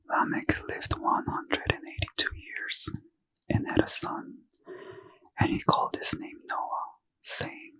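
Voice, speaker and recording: A man reading scripture aloud in a soft, unvoiced ASMR whisper as a bedtime story. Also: low-quality recording.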